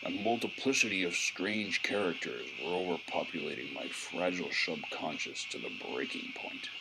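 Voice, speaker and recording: A man playing a world-weary, sardonic gumshoe, delivering brooding, florid and deliberate hardboiled noir lines in an audio drama. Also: low voice, very noisy. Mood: brooding, tormented. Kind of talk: scripted hardboiled noir narration.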